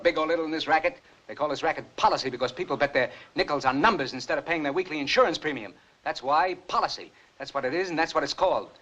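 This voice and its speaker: A coaxing racketeer lawyer who talks fast in a slightly raised voice. The sound is dull and muffled, like an old film soundtrack. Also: male voice, on the high side, a bit bright. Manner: persuasive, coaxing. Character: smooth-talking racketeer lawyer, younger brother. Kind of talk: 1940s film acting.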